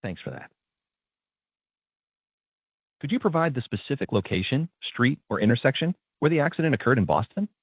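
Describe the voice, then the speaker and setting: A man's voice: mid-range voice, sing-song, moderate pace, long pauses, slightly rough, dry recording. A man's synthetic voice acting as a polite, procedural automated claim agent with scripted customer service lines. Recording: narrow tinny phone-like sound.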